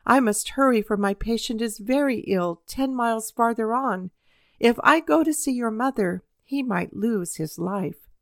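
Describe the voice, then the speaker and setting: A woman voicing a kindly grown-man doctor who speaks calmly and gently. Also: a little high, unhurried, vocal fry, no echo, clean studio sound. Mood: earnest.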